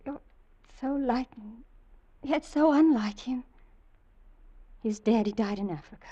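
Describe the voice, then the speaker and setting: An actress playing a fragile, haunted, devoted wife in a halting, breathy 1940s radio melodrama style. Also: female voice, a little high, dark tone, lo-fi sound. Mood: soft, fragile. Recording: old 1940s radio broadcast.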